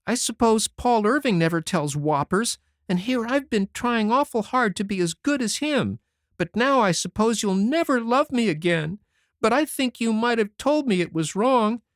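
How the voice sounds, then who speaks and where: Reader doing a little boy, high-pitched, eager, mischievous, sing-song, storybook. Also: sing-song, moderate pace, no room sound, clean studio sound, close to an everyday voice. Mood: sobbing pleas. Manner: high, eager childish pleading. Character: mischievous six-year-old boy, pleading and curious. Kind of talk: audiobook character voice.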